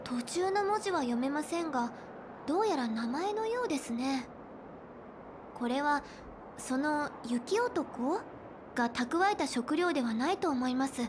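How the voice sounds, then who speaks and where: A woman's voice: high-pitched, animated, unhurried, noisy background. A woman voicing a polite, earnest, studious young female officer in a Japanese anime game, speaking formally and carefully. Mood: analytical, polite. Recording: clean studio voice over game music.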